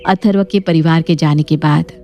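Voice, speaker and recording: A woman's voice: deep voice, steady tone, normal speed, warm tone. Woman narrating a story over music. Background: background music.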